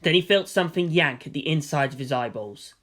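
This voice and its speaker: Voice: mid-range voice, lively intonation, brisk, a bit bright. A narrator reading fan fiction aloud as scripted drama, with a theatrical, solemn delivery. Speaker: story narrator.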